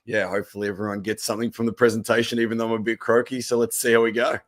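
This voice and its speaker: A man, a tennis coach, giving a relaxed presentation with a croaky voice. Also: fairly low pitch, racing. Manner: steady explaining, relaxed. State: hoarse.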